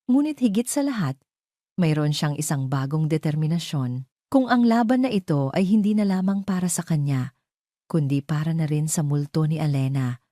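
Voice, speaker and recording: Low, mellow woman storyteller. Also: animated, normal speed, dry recording, studio quality. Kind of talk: dramatic scripted narration of a romance audio drama.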